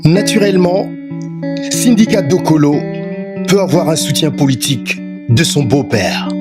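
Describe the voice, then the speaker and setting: Low-voiced woman narrating over loud music. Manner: measured, posing rhetorical questions. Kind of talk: scripted documentary narration. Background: loud plucked-string music.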